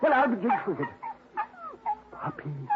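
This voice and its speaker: An actor playing an excitable older father in a 1950s radio drama, blustery and stammering. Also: extremely high pitch, measured pace, heavily acted. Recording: dull hissy 1950s radio recording.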